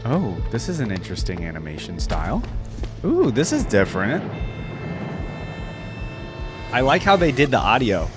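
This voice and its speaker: A man's voice: medium pitch, huge pitch swings, a little fast, music in the background. A man hosting a reaction video, talking casually and informally with frequent exclamations.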